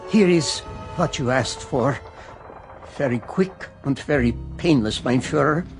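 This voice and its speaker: Dutiful German military aide over music. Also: male voice, medium pitch, expressive, measured pace. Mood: coldly dutiful. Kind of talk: radio drama acting. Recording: music behind the voice.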